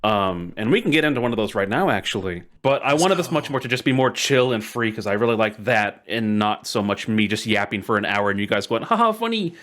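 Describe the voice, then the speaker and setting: A man hosts a casual podcast among friends. His pitch moves around a lot as he talks, and he rambles along in a playful, joking manner. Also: big pitch swings, brisk, hardly any pauses, a bit bright. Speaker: podcast host.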